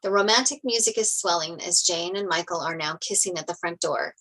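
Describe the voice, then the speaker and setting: A woman narrates a screenplay, reading out the stage directions. Her voice is a little low in pitch, in a table-read style. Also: a little fast, fairly bright tone, rough recording.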